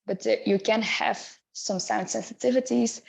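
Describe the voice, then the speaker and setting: A woman in her thirties from Belgium, speaking English with her Belgian accent as a clinician presenting an educational webinar in a measured, explanatory way with occasional hesitations. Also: medium pitch, fairly even intonation, vocal fry, a little mellow. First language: not English.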